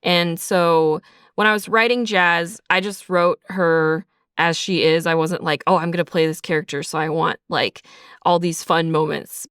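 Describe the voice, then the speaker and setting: A woman's voice: lowish voice, fairly even intonation, measured pace, a bit bright, clean tone, no echo, clean studio sound. A woman speaking casually in an interview as a writer, talkative and thinking aloud, slipping into imagined lines as she explains. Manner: talkative, thinking aloud, acts out characters' thoughts in asides. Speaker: writer and creator of an audio drama, also voice actor. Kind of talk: casual behind-the-scenes interview.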